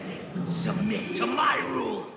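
An actor playing a bitter, power-mad fallen tyrant sorcerer in a video game cutscene, brooding and grandiose. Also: male voice, high voice, expressive, moderate pace, creaky, very noisy. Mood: defiant, grandiose. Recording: echoey, distant hall reverb under loud music.

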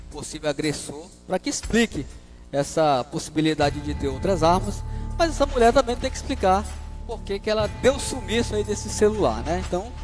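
A man in his thirties with a northern Brazilian accent anchors a local news show, throwing pointed questions at his viewers. His voice sits high and swings widely in pitch. Soft music plays faintly beneath. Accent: Brazilian Portuguese, northern Pará. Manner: animated, rhetorical questions to viewers. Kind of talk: opinionated news commentary. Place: studio with virtual set.

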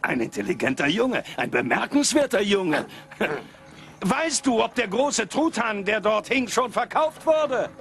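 A man playing an excitable old miser turned generous in a German cartoon dub, theatrical and given to exclaiming. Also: high voice, measured pace, vocal fry, full character voice. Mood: delighted, eager.

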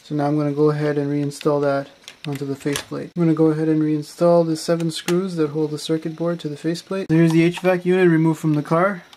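A man calmly narrating a step-by-step electronics repair tutorial in a plain, methodical way. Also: on the high side, quick pace, slight background noise. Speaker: car electronics tutorial maker.

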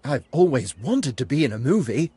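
A friendly animal dad in a children's cartoon game. His voice is very raspy and lively, with a mild, good-natured manner. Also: medium pitch, normal speed, no room sound. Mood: mild, pleased.

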